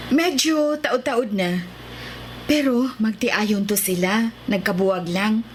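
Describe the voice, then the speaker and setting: An actress with a Visayan Filipino accent playing an easygoing, obliging beauty parlor worker in a radio drama. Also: female voice, medium pitch, slow, a little hiss or hum, close to an everyday voice.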